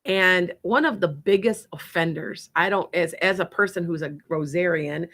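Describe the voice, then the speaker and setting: Bright-voiced wisconsin lady doing psychic readings on her show, rambles and laughs a lot. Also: lowish voice, normal speed. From Wisconsin, USA. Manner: chatty, rambling, laughs often. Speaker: psychic radio show host. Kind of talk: casual chat and psychic readings.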